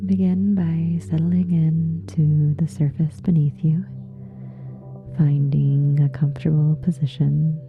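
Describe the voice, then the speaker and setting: A woman softly guides a relaxation meditation in a breathy, soothing hush. Her delivery is very even and slow, with long pauses between short phrases. The sound is slightly dull and muffled. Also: sits low. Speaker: yoga nidra meditation guide. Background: soft meditation music with singing bowls.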